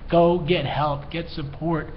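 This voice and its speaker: A man's voice: on the high side, level tone, takes it slowly. A man speaking as a mental health educator in an earnest, heartfelt personal talk, emotional and slightly tearful as he pleads with the listener. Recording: dull lo-fi sound, faint background noise.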